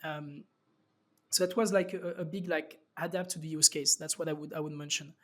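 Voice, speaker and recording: A man with a French accent and a slightly raised pitch speaks as a podcast guest. He talks loosely, full of fillers and restarts as he explains his thinking. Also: fairly even intonation, a little fast, bright, forceful tone. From France. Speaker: startup founder guest. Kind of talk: casual interview talk.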